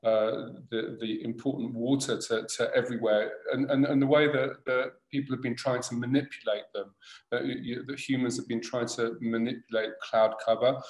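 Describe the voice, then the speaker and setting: A man's voice: medium pitch, fairly even intonation, normal speed. Guy in his 50s on a webcam call from his living room, keeps restarting sentences, echoey room sound. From born in Tunisia, grew up in England. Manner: hesitant, with many restarts and fillers. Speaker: host, designer. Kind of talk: casual video-call interview. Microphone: laptop webcam on a video call. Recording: roomy video-call audio.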